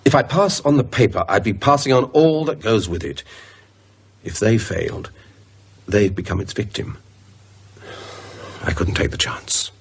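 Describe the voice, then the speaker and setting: A man playing a pedantic, grave scholar in a radio drama, speaking in a husky, hesitant, deliberate way. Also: lowish voice, lively intonation, exaggerated voice. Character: pedantic, grave male scholar delivering grim findings.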